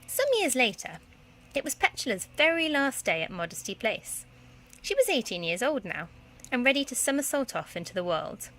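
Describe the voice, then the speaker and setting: Animated, bright-voiced woman storyteller. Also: fairly high pitch, moderate pace. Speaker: primary school teacher reading aloud. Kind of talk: expressive children's story narration.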